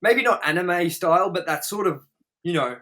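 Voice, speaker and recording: A man with a bright, forward voice talks through an opinionated review as a podcast host. His delivery is casual and rambling, blunt and peppered with swearing. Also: sits high, lively intonation, moderate pace.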